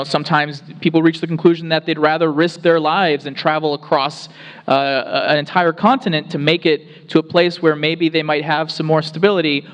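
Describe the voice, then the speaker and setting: A man's voice: on the high side, fairly bright tone, clean tone. A man from the Rio Grande Valley in Texas, a researcher speaking on a town hall panel in an earnest, explanatory way with many restarts. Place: town hall meeting room. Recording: reverberant hall.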